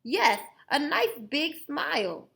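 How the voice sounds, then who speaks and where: A woman putting on a toothless, shrill old grandpa. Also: big pitch swings, slow pace, gravelly, heavily acted. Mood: coaxing. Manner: gummy lisping speech, drawn out and shouted.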